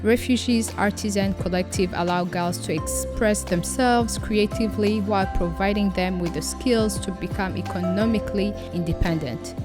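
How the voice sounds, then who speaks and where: A woman reading scripted promotional narration for a charity in a slow, solemn, deliberate way. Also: medium pitch, over music.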